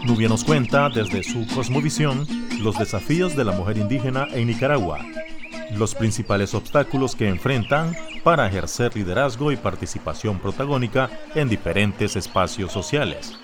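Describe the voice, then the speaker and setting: A man's voice: mid-range voice, lively intonation, moderate pace. A man hosting a podcast, introducing and questioning his guest in a formal presenter tone. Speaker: podcast host. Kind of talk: interview host introduction. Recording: music bed behind the voice.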